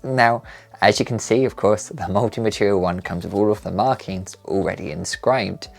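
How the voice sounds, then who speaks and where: Casting: male tutorial narrator, lowish voice, scripted step-by-step delivery, light background music. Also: moderate pace, gravelly. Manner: methodical, instructional. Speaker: maker channel presenter.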